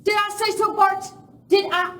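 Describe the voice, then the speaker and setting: Actress as tough female Western gang boss, high voice, curt and threatening, live radio play in an echoey room. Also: little pitch movement, moderate pace, bright, forceful tone. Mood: angry, threatening. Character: tough, ruthless young woman boss of Western outlaws. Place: live performance in a village venue. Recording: echoey hall, distant mic, faint noise.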